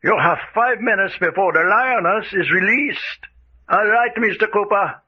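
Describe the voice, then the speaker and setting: A man playing a courteous, coldly commanding villain who gives formal, measured orders in a radio drama. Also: sits high. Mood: coldly polite. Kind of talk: old-time radio drama acting. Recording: dull, noisy vintage-style radio sound.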